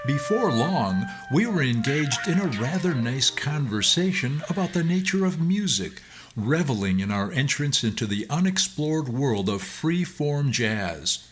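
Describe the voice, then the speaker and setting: A middle-aged or older man reading a scripted first-person memoir in an expressive, theatrical storytelling manner. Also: mid-range voice, measured pace, soft background music. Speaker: storyteller, former music teacher.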